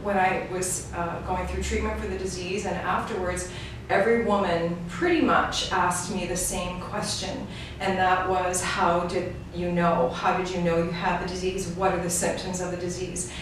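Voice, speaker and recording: A middle-aged woman speaks earnestly and reflectively as an interview guest, measuring her words. Her delivery is quite flat in pitch. She is heard from a distance in a very echoey room with clear background noise. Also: normal speed. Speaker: guest, brand ambassador. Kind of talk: personal testimony in an interview.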